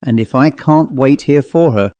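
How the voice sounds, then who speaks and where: A man's voice: mid-range voice, moderate pace, warm tone, no room sound, lo-fi sound, natural voice. A man voicing an earnest, anxious country woman, an aunt in a storybook, hesitant yet insistent. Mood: indignant.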